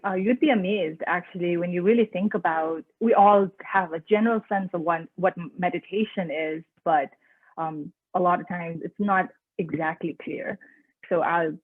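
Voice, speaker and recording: A woman from Nepal, a mindfulness trainer, speaking as a guest in a calm, measured, thoughtful way over a video call. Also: fairly low pitch, breathy edge, no echo. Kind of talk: conversational webinar interview. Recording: tinny dry phone-like line.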